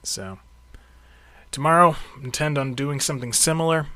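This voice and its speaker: Bright-voiced man keeping a spoken diary. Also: on the high side, moderate pace, no echo. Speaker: host of a karate training audio log. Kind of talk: casual spoken audio diary.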